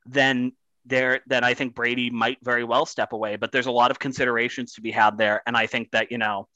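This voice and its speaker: A man's voice: medium pitch, flat delivery, brisk, bright, forceful tone, no echo, lo-fi sound. A man co-hosting a casual sports podcast, building his points with repeated phrases. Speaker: podcast co-host.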